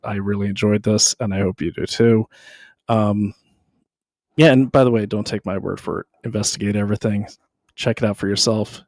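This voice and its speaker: A man's voice: on the low side, steady tone, moderate pace, a little mellow, no room sound. A tired male host signing off to his viewers in a rambling, conversational way. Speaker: show host. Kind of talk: casual sign-off to viewers.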